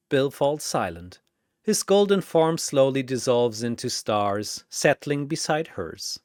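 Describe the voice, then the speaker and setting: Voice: medium pitch, no room sound, studio quality. A narrator reading scripted fiction in a dramatic storytelling style.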